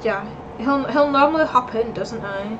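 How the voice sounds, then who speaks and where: A woman's voice: fairly high pitch, normal speed, muffled recording, crowd noise. A young woman streamer chatting casually and laughing often while playing a game with friends. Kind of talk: casual gaming chat. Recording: distant mic with background noise.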